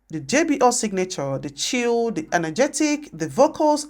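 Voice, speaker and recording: A woman narrating a scripted product review as the presenter. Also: on the low side.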